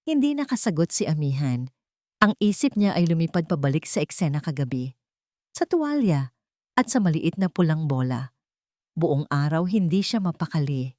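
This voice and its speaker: A woman's voice: on the low side, darkish tone, dry recording, studio quality. A woman with a Filipino accent reading a scripted, dramatic love story as its storyteller.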